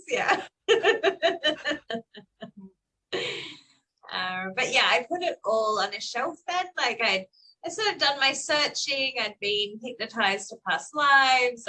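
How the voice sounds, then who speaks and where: A young woman with an Australian accent tinged by South Africa, talking in a clear, bright and forceful voice. She chats loosely and laughs often as a guest on a video call. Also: fairly high pitch, poor audio. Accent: Australian with South African influence. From Perth, Western Australia. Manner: chatty, laughs often, frequent fillers. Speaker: interview guest. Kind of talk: casual conversational interview.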